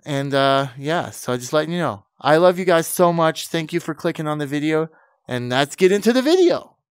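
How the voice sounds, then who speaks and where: A man's voice: on the high side, expressive, normal speed, clean tone. A man with a cold and a fading voice, chatting enthusiastically as a channel host. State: sick, losing his voice. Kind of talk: casual vlog intro and comedy skit.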